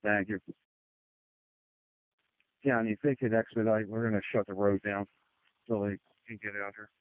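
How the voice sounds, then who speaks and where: A man's voice: fairly low pitch, level tone, moderate pace, no room sound. Terse male fire officer on narrowband radio. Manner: terse, procedural, matter-of-fact. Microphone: two-way radio. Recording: phone-like narrowband two-way radio.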